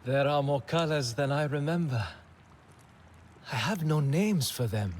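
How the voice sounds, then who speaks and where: An actor playing a restless, defiant young alien man in a video game, intense and wistful, with a husky voice. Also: on the high side, lively intonation, unhurried, slight background noise. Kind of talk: video game cutscene acting.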